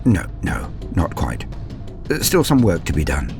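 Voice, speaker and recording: A man's voice: sits low, wildly swooping pitch, measured pace, music in the background, heavily acted. A man playing a flustered, placating loremaster in a comic one-sided phone call, hesitant, muttering and theatrical. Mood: flustered, polite.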